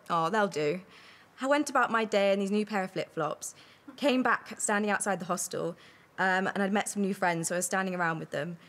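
Young woman telling a plain anecdote. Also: mid-range voice. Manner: steady, plain storytelling. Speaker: studio audience guest. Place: TV studio.

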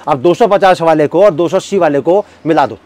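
Male, very fast talker, highish voice, wholesale seller's pitch, emphatic, repetitive listing. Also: a little mellow. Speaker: wholesale suit manufacturer and seller. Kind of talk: sales pitch to camera in a shop vlog.

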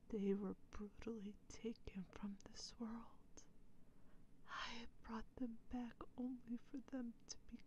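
A woman playing a proud, brooding female vampire in an ASMR role play, speaking in breathy, solemn, formal phrases. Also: a little high, mellow, slight background noise, low-quality recording. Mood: grieving, bitter.

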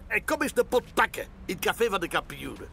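Animated Flemish man reading aloud, high-pitched. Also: quick pace, creaky, a little hiss or hum. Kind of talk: animated comic-book read-aloud with character voices.

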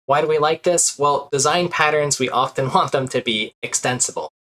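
A man's voice: fairly high pitch, normal speed, bright, forceful tone. A man in his thirties teaching a programming lesson while sharing his screen, explaining step by step with frequent "uh" fillers, at a desk in a small room. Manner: explanatory, many "uh" fillers while working through code. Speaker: programming instructor. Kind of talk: screen-share coding lesson.

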